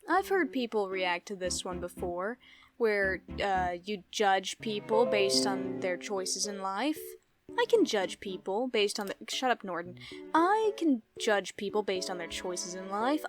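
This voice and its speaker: A woman in her twenties rambling through a casual reaction vlog. Her voice sits a bit on the higher side, and she keeps breaking into giggles and self-deprecating asides. A faint bed of music plays underneath. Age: 20s. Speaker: YouTuber.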